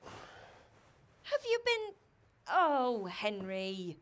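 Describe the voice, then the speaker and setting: Lively chiding medieval village mother. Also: fairly high pitch, extremely slow, heavily acted. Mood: worried scolding. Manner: chiding, matter-of-fact. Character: brisk, scolding but caring medieval village mother and wife. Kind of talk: naturalistic video game acting.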